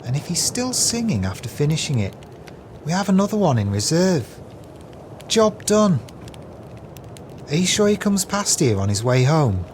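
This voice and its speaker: An actor playing a gleeful, crooked swindler with a sly, florid, falsely friendly manner in an audio play. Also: male voice, fairly high pitch, very animated, normal speed, pauses often, a little hiss or hum. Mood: sly scheming.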